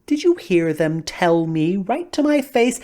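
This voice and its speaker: Weary old straw emperor, slow and sighing, often breathy, swinging widely in pitch, as a woman reader plays him. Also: very animated, warm tone. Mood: wistful. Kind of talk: storybook reading.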